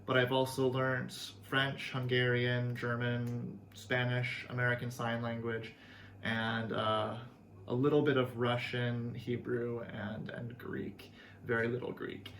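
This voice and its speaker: A man's voice: medium pitch, level tone, slow, a bit bright, slight background noise. A young Canadian man, a language teacher, explaining things in a friendly, earnest way with some fillers. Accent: Canadian English. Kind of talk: casual video lesson. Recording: echoey room, faint ambience.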